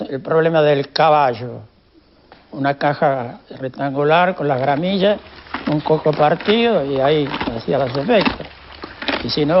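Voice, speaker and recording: Older Argentine man, fairly high voice. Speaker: former radio sound effects worker. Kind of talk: reminiscing in an interview. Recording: lo-fi with faint noise and clicks.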